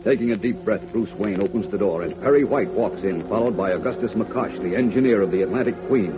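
A man's voice: lowish voice, a little fast. A man narrating a vintage radio serial as announcer in a suspenseful, theatrical style. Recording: muffled old radio, loud music. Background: loud music.

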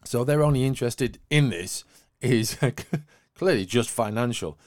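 A man's voice: medium pitch, unhurried, darkish tone, no room sound, clean studio sound. A man hosting a tech news podcast, presenting items in a semi-scripted way with wry, flippant asides.

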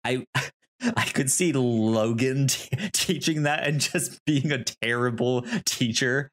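A man co-hosting a podcast, discussing films casually in a halting, rambling way with easy laughter. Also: medium pitch. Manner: rambling, stammering, laughs easily. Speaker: podcast co-host.

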